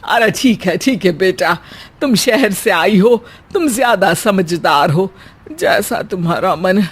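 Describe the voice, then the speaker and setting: A woman voicing a patient, gently chiding, middle-aged village mother-in-law in a comic cartoon story. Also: mid-range voice, expressive, takes it slowly, husky. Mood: patient, indulgent. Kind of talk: Hindi cartoon story acting.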